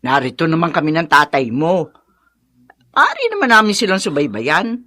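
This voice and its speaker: A woman's voice: low voice, big pitch swings, normal speed, sharp tone, full character voice. An actress playing an outspoken, caring, meddling older mother and grandmother in a Filipino radio drama. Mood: scolding, concerned.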